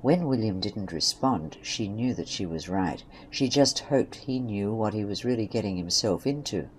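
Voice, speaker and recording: Faint noise and ambience lie under a narrator of middling pitch. The delivery is scripted storytelling, read as third-person narration for a home-made audio drama. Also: darkish tone, low-quality recording.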